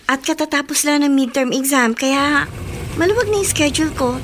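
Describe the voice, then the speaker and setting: A timid, high-voiced young student. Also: female voice, lively intonation, normal speed, a little hiss or hum. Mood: eager, earnest. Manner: hesitant, soft, respectful. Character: timid, anxious young woman student, devoted younger sister. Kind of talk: Tagalog radio drama acting.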